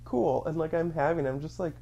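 Rambling mid-pitched chatty storyteller. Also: a little fast, gravelly, warm tone, muffled recording. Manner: rambling, filler-heavy, acts out own thoughts in quotes. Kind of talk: casual chat, storytelling.

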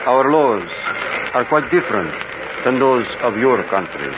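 A man acting a formal, officious foreign passport official with measured delivery in an old-time radio drama. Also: mid-range voice, very animated. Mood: stiff, formal. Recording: very noisy, dull old radio recording.

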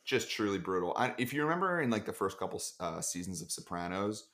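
A man as a podcast guest in casual chat, rambling with dark deadpan jokes over a video call. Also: lowish voice, quick pace.

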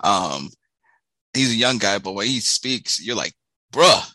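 A man co-hosting a podcast in casual chat, with a nasal voice still recovering from a hoarse week. He talks haltingly and restarts his phrases. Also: fairly low pitch, lively intonation, pushed and bright, no echo. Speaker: podcast co-host.

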